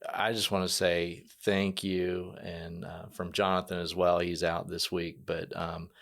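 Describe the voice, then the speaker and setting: Low, gravelly male podcast host. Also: level tone, measured pace, a bit bright. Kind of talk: casual interview.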